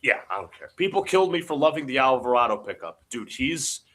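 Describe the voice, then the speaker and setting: Guy calling hoops live on a stream, stuffy nose, bright edgy voice, gets loud on big plays. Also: mid-range voice, brisk. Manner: excitable, shouts on big plays. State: congested from allergies. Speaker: livestream host doing play-by-play. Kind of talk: live watch-along play-by-play commentary.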